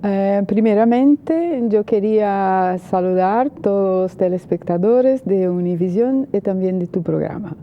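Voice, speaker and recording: A woman's voice: mid-range voice, measured pace, few pauses, lo-fi sound, slight background noise. A Brazilian woman with a Brazilian Portuguese accent, a journalist and political analyst, answering interview questions in hesitant Spanish full of "eh" fillers.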